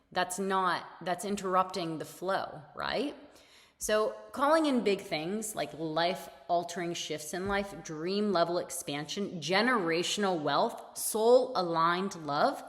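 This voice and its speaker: A woman hosting a solo motivational podcast, talking casually and repeating her key points, her voice slightly raspy. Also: medium pitch, in a large hall. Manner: casual and conversational, repeats key points, laughs between phrases. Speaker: podcast host.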